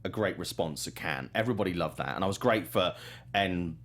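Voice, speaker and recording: A man's voice: low voice, animated, brisk, fairly bright tone. A man hosting a film news show in a casual, chatty and enthusiastic way.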